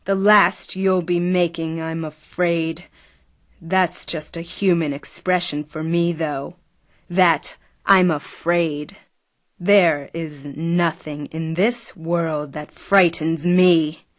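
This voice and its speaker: A woman's voice: low-pitched, steady tone, takes it slowly, no echo, studio quality. Woman playing a sultry, menacing clone seductress. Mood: seductive menace. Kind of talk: audio drama acting.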